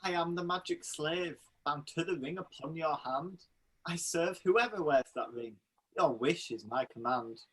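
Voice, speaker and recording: Man as a husky magic slave of the ring. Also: a little high, rough recording. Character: modest magic slave spirit of a ring, dutiful servant. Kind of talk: pantomime acting.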